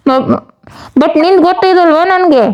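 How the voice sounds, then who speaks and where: Insistent high-voiced woman making a prank call. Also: moderate pace, lo-fi sound. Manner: teasing, insistent.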